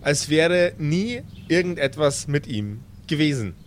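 Lively male game master narrator. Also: a little high, a little slow, slight background noise. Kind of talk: improvised narration of a pen-and-paper audio drama.

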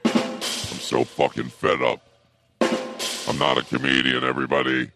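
A gravelly, impossibly low boyfriend voice, as a man puts it on. He booms, can't keep his volume down, and keeps apologizing in a rumbling fry. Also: level tone, a little slow, over loud music, exaggerated voice. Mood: angry ranting. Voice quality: extremely deep, gravelly voice. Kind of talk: improvised comedy sketch.

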